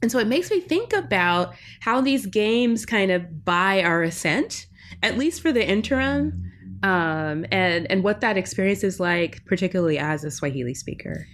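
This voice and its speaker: A woman's voice: medium pitch, lively intonation, moderate pace, a bit bright. A woman linguistics professor talking as a podcast guest in a reflective, academic way, often checking in with "right?". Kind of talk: academic interview talk.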